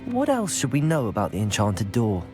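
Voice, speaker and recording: A man's voice: fairly low pitch, wildly swooping pitch, normal speed, music in the background. An actor playing an earnest, composed young male student wizard in a video game, polite, articulate and full of questions. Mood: inquisitive. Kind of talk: video game cutscene acting.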